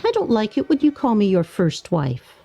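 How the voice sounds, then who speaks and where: An actress playing an exasperated but plain-spoken wife in a comedic radio play, with weary, matter-of-fact retorts. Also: female voice, mid-range voice, wildly swooping pitch, normal speed, creaky, warm tone, husky, heavily acted.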